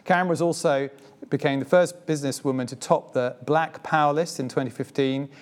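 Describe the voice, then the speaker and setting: A man's voice: a little high, fairly even intonation, a little fast. Man hosting a museum evening talk. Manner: formal, reads from notes, gently humorous. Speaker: host of a talk event. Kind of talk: event introduction and interview. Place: museum event space before an audience.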